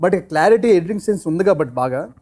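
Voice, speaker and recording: A man's voice: high-pitched, animated, fast, warm tone. A man talking casually in an interview, mixing English phrases into his speech. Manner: code-switches into English phrases. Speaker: film team member, interviewee. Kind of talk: casual interview talk about filmmaking.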